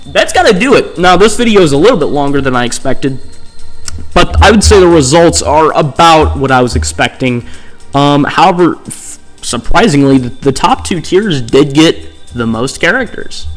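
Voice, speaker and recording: A man talks off the cuff as the host of a ranking video, with music playing underneath. His delivery is conversational and rambling, circling back on his points and checking in with the listener. His pitch sits in an ordinary middle range for a man. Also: fairly bright tone. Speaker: host of a video game tier list channel. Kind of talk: casual unscripted ranking commentary.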